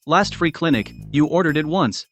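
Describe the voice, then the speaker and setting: A man playing a wealthy young CEO husband in a short romance drama, calm, teasing and devoted. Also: a little high, moderate pace, soft background music.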